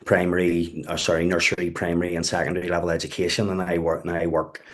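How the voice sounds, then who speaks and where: A man's voice: low voice, completely flat delivery, fast. A man from West Belfast with a Belfast accent, speaking as a guest at an online webinar, hesitant and full of fillers and restarts. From West Belfast, Northern Ireland. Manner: hesitant, many fillers and restarts, says "wee bit". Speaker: webinar guest speaker, community youth worker. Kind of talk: casual spoken talk.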